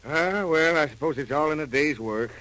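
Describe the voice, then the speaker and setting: A man's voice: fairly high pitch, moderate pace, pushed and bright, low-quality recording. An American man acting an eager, wisecracking young customs assistant in a 1940s radio crime drama, with snappy, slangy delivery. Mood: wry, keen. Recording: old 1940s radio recording.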